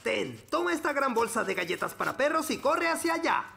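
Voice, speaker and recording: Gravelly, bossy little cartoon critter. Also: high-pitched, sing-song, a little fast, full character voice. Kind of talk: animated children's cartoon dub. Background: loud music.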